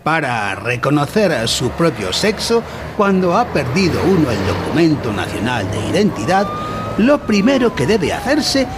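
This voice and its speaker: A man's voice: a little high, wildly swooping pitch, measured pace, background noise. A man playing a pompous instructor in a mock-educational radio play, with formal, didactic delivery. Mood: solemn, didactic. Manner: formal, didactic, solemn wording. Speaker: narrator in an audio drama.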